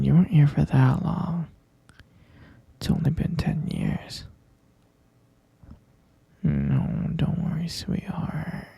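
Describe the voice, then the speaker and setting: A man voicing an obsessive, sweetly possessive kidnapper in an ASMR roleplay, talking in a soft, clingy, soothing whisper that keeps insisting. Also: a little high, gravelly, slightly rough, no echo, exaggerated voice.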